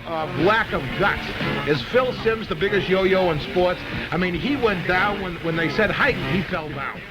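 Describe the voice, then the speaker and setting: A man hosting a casual sports call-in radio show, opinionated and emphatic, often repeating himself. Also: high-pitched. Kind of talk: live sports talk radio, casual chat with callers. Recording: noisy vintage radio broadcast recording.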